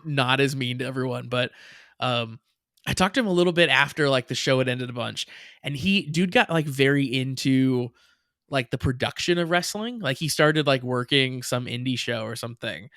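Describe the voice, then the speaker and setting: A man's voice: medium pitch, moderate pace, fairly bright tone, dry recording, clean studio sound. A young man co-hosting a podcast, chatting in a rambling way with many restarts and frequent laughs. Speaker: podcast co-host. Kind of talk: casual chat.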